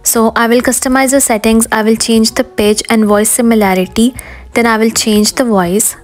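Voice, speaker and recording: A woman with a fairly high voice presents a scripted software tutorial as its host. She guides the listener step by step, with music playing behind her. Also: steady tone, moderate pace. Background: background music.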